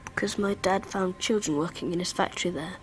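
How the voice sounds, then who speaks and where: A woman's voice: fairly low pitch, a little fast, a little hiss or hum, close to an everyday voice, poor audio. A woman playing an anxious, secretive twelve-year-old British schoolboy in an audio drama, answering in hesitant, clipped phrases. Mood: wary, intense. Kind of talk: naturalistic audio drama acting.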